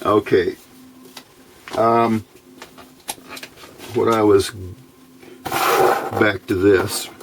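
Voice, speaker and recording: A man with a fairly low voice gives a casual, hands-on electronics tutorial. He speaks very slowly, pausing and thinking aloud as he explains each part. A faint background noise sits under the voice. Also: level tone, low-quality recording. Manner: halting, unhurried, thinking aloud. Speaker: electronics hobbyist video host.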